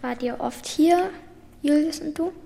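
A woman's voice: high-pitched, a little slow, gravelly, mellow. A woman playing a curious, frank child in a radio play, with a blunt, inquisitive way of talking. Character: curious, frank child, Bela's playful friend. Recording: long hall reverb.

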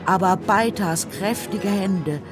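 A woman narrating a children's radio play in a measured, scripted storytelling style. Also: mid-range voice, over music.

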